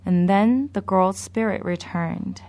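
A woman preaching a sermon in a slow, measured, deliberate way. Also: medium pitch, mellow.

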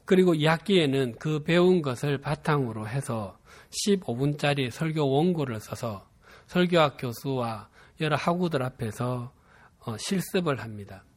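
A man in his fifties from Busan with a Gyeongsang dialect accent, preaching a sermon and telling a personal story in a measured, earnest way. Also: mid-range voice, animated. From Busan, South Korea. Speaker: pastor.

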